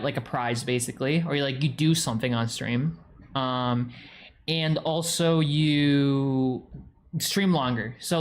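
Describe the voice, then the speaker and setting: A young man with a New England accent, chatting casually with viewers on a live stream and rambling with lots of fillers. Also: on the high side. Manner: rambling, heavy use of "like". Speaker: streamer reading chat.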